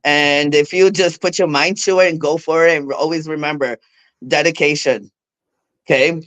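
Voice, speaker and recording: A woman's voice: low voice, steady tone, normal speed, a bit bright, no echo. A woman from Brazil with a Brazilian Portuguese accent, speaking candidly as a guest in a casual interview, laughing often. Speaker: guest, figure bodybuilder.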